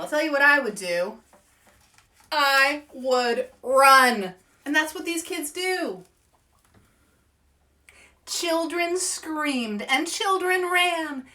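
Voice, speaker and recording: A woman hosting story-time for children, reading aloud in a playful, expressive way and chatting with young viewers. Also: high-pitched, distant mic. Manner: playful, expressive, asks listeners questions.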